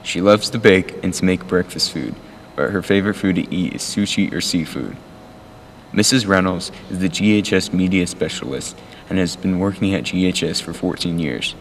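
Deep-voiced man narrating, heavy reverb. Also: normal speed. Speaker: narrator of a school cooking show. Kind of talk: scripted narration. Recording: long reverb, faint background noise.

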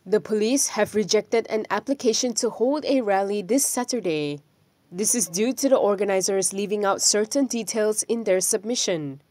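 A woman's voice: medium pitch, normal speed, darkish tone, dry recording. Woman reading news in a dry studio. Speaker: news presenter. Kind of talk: scripted news voice-over. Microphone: studio microphone.

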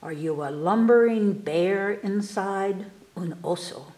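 A woman reading children's poems aloud at storytime, expressively and with careful, animated delivery for young listeners. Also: medium pitch, roomy sound. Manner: animated, unhurried, enunciating for children. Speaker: library storytime reader. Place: indoors in a room, book filmed on table.

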